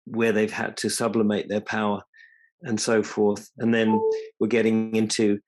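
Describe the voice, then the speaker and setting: A man's voice: on the low side, completely flat delivery, normal speed, darkish tone, rough recording. A man asking a question in a rambling, hesitant way, full of fillers and restarts. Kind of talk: casual discussion.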